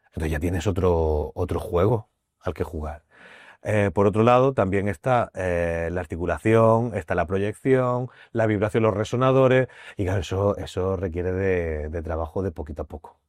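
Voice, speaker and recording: A man's voice: on the low side, a little mellow, no echo, studio quality. A man with a Murcian Spanish accent, a voice teacher and actor, explaining his craft thoughtfully in a podcast interview and repeating his key phrases. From Murcia, Spain.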